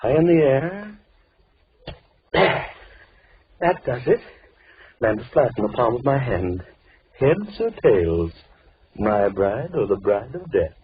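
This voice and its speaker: An actor playing a deranged killer with a falsely gentle, courtly, drawn-out menace, in the theatrical style of 1940s horror radio. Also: male voice, mid-range voice, very animated. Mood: coldly patient. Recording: tinny, noisy vintage radio recording.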